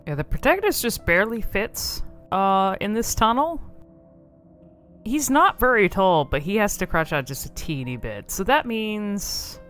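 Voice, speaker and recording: A woman's voice: mid-range voice, lively intonation, unhurried, fairly bright tone, faint music behind. A woman giving casual, off-the-cuff commentary on a video game in a rambling, informal, amused manner.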